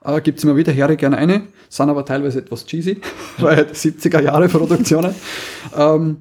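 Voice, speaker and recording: A man's voice: on the high side, flat delivery, moderate pace, darkish tone. A man with an Austrian accent chatting casually as a podcast co-host, often repeating and restarting his phrases. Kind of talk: casual chat about audio drama series.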